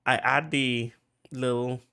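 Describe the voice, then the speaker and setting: A man with a Trinidadian accent casually talking through how he produced a track, chatty and full of fillers, getting excited as he goes. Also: mid-range voice, slow pace, vocal fry, smooth. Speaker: music producer.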